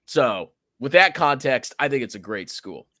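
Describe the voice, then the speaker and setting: A man with a deep voice, hosting a casual chat. His pitch swings widely and his tone is bright and forceful. The sound is close and dry. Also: normal speed, no echo. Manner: joking, laughing often, animated. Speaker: host.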